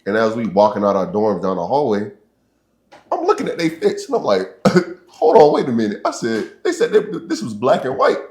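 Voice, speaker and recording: Very low-voiced young man hosting a podcast. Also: big pitch swings, normal speed, darkish tone. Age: young adult. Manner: laid-back slang, laughs often while telling stories. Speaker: podcast host. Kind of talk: casual chat.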